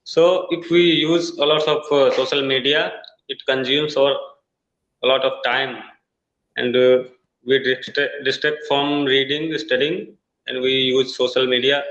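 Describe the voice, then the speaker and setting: Halting Indian man on an echoey call. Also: mid-range voice, steady tone, a bit bright. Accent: Indian English. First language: Hindi. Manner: halting, searching for words with many uh fillers. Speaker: English learner. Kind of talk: online tutoring conversation. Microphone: video call. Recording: echoey, dull video-call audio.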